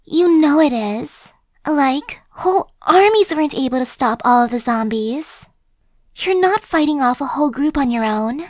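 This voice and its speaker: A woman's voice: high-pitched, animated, unhurried, no room sound. Woman playing a sly chatty zombie girl. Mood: persuasive. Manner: coaxing, persuasive, casual chatter. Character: chatty friendly female zombie, coaxing and sly. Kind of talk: ASMR roleplay.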